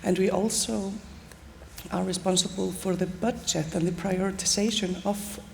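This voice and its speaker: Gravelly low woman from Iceland. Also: fairly even intonation, moderate pace, darkish tone, slight background noise. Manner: hesitant, many fillers. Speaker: panelist, city official. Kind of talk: conference panel talk. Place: large conference stage. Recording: long reverb, faint ambience.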